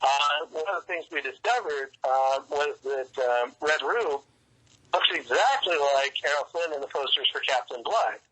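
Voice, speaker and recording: A man speaking casually as an interview guest, explaining things in a halting, rambling way over a telephone line. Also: a little high, fairly bright tone, breathy edge. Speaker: interview guest, comics writer and fan club organizer.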